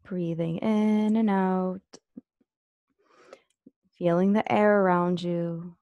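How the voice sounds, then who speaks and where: A woman leading a guided meditation as an instructor, speaking gently and unhurriedly with long pauses. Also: fairly low pitch, steady tone, no room sound, muffled recording.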